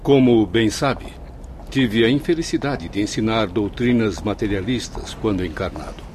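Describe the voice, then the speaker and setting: A man's voice: lowish voice, normal speed, a little mellow, a little hiss or hum, close to an everyday voice. An actor in a radio drama playing the spirit of a remorseful male professor, formal, earnest and penitent. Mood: regretful.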